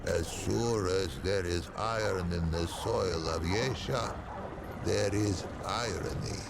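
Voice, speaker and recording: An actor playing a solemn, wise alien forest-dweller in a video game, speaking in measured, formal, stately phrases with a creaky voice. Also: male voice, heavy background noise, heavily acted. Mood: musing, wry. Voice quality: low, creaky. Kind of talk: theatrical video game character acting. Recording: loud music under the voice.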